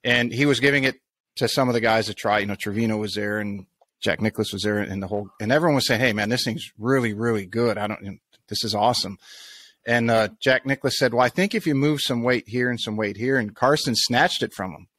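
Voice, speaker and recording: A man's voice: medium pitch, a little fast, a bit bright. A man hosting a golf podcast, talking in long rambling sentences with many restarts and asides. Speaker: podcast host. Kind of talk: casual interview chat.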